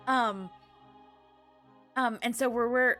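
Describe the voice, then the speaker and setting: Higher-voiced woman chatting on a livestream. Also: fairly even intonation, bright, forceful tone, faint music behind. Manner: halting, filler-heavy, gushing and emotional. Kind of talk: casual live game stream chat, recapping to viewers.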